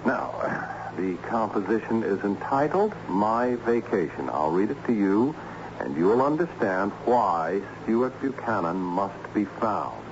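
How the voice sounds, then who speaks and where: An actor playing a harried, ironic assistant principal in an old-time radio drama, theatrical and patiently explanatory. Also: male voice, fairly low pitch, animated, slow. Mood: patient, earnest. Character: harried, excitable male school assistant principal with ironic humour. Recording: dull, noisy old radio recording.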